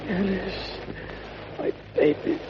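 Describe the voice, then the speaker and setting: An actor playing a frightened, weak crook in an old radio drama, halting and pleading. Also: male voice, lightly acted. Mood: dying, gasping. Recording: noisy, muffled vintage radio recording.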